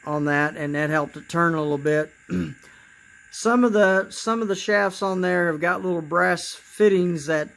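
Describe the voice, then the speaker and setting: A man with a raspy voice demonstrating his model trains in a casual, halting way, with many fillers and repeated words. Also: high-pitched, fairly bright tone. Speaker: model railroad hobbyist.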